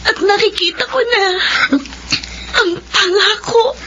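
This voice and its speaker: Very high-pitched, emotional Filipino elder sister. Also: female voice, slow pace, highs cut off, noisy background. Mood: grateful, hopeful. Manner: emotional, melodramatic delivery. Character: devoted, long-suffering elder sister, tearful and fierce. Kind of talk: Filipino radio drama acting. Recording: band-limited radio drama with loud music.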